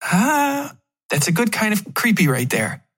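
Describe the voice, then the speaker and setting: A man voicing a bubbly, excitable female literary agent who rambles and gushes chattily, in an audiobook-style story. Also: high-pitched, unhurried, breathy edge, voice pushed up, full character voice. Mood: amused, friendly.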